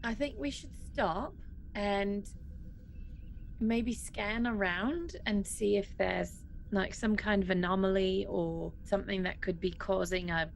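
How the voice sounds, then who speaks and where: A woman's voice: medium pitch, slow, vocal fry, slight background noise, rough recording. A woman chatting casually as a player in a tabletop role-playing game, hesitantly thinking aloud.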